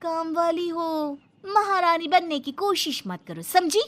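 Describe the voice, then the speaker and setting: A woman voicing a blunt, sharp-tongued young daughter-in-law in a comic cartoon story, brazen and mocking. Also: extremely high pitch, measured pace. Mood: bossy, snapping.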